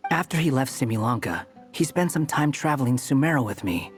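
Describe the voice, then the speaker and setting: A man's voice: mid-range voice, big pitch swings, a little fast, natural voice. A man voicing an aloof, sardonic young wandering scholar in an anime game, with a dry, condescending, clipped delivery. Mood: serious. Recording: music behind the voice.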